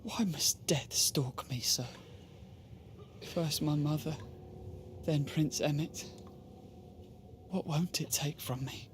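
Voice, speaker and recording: An actor playing an ailing, devoted young male scholar, speaking with earnest, formal intensity and a frail, breathy voice in a dramatic game style. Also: a little high, slow, pauses often, a little hiss or hum. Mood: weary, grieving.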